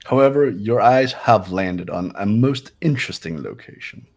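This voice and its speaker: A man plays a cool, condescending young snob in a video game. The voice is low and deliberate, drawing out words with pompous weight over music. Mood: smug. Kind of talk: amateur video game voice acting.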